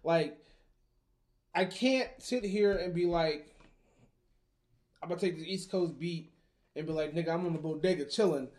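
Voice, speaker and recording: A young man in casual conversation, arguing slowly with many restarts and false starts. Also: a little high, darkish tone. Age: young adult. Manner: hesitant, restarts a lot, laughs. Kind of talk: casual debate chat.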